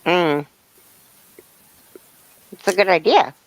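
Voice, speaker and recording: A woman's voice: fairly low pitch, expressive, slow pace, no room sound, low-quality recording. A woman in her fifties hosting a crafting live stream, chatting casually and reading out viewer comments. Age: 50s. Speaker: crochet channel host.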